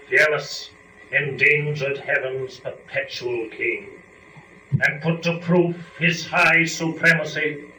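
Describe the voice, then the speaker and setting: In an echoey room, some way from the mic, a man plays a rueful male fallen angel reciting epic verse. The delivery is solemn, slow and formal, with a bright, forceful edge. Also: mid-range voice. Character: grave, rueful fallen angel, doubting male lieutenant in hell. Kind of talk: dramatic reading of epic verse. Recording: roomy, distant mic, faint noise.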